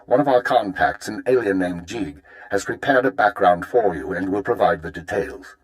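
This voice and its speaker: A man playing a stern, formal intelligence chief in a video game, giving measured, authoritative orders. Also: sits low, expressive, poor audio. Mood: stern, instructive. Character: stern male imperial intelligence chief briefing an agent. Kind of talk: scripted video game dialogue.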